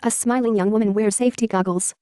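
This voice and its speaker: A woman's voice: medium pitch, fairly even intonation, fast, warm tone, clear, resonant tone, dry recording. Neutral woman audio describer, dry voice-over. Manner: plain, neutral reading. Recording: dry voice-over with faint music.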